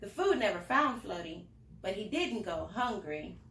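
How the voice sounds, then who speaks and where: A woman's voice: medium pitch, big pitch swings, measured pace, fairly bright tone, a little hiss or hum. A woman reading a picture book aloud to children, expressively and with little asides to the listeners. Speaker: library storytime reader. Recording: roomy, echoing indoor sound.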